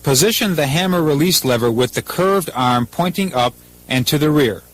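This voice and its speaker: A man's voice: fairly high pitch, expressive, normal speed, fairly bright tone, rough recording. A man with an American accent reading scripted instructions as a 1980s military training film narrator, in a formal, methodical, impersonal manner.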